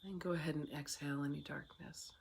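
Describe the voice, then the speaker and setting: Meditation guide over clear insect noise. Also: mid-range voice, steady tone. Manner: soothing, unhurried, with long pauses.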